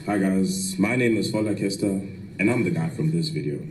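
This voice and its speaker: A young man speaks in a low, mellow voice. He addresses the camera earnestly, with faint traffic and outdoor noise behind him. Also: normal speed, poor audio. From Nigeria, raised in South Africa. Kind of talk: social media video monologue.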